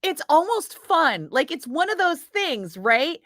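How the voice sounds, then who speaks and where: A middle-aged woman radio DJ chatting casually with viewers between breaks, profane and quick to laugh. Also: very high-pitched, very animated, a bit bright, no room sound, clean studio sound. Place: radio studio.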